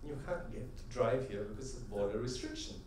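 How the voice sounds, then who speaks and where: A man's voice: medium pitch, expressive, a little fast, a little mellow, slight background noise. Middle-aged man speaking in an echoey hall. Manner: conversational storyteller, often tags "right?"; builds to rhythmic, emphatic punchlines. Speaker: convention speaker. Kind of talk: motivational conference talk with anecdotes. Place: large convention hall. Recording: echoey hall, voice from a distant mic.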